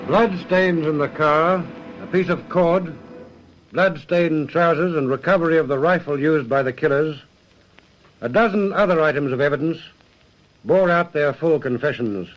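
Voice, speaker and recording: A dull old radio recording with faint music behind. A man narrates in a formal, solemn manner with a fairly high voice. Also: moderate pace. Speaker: narrator and host. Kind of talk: scripted vintage radio narration.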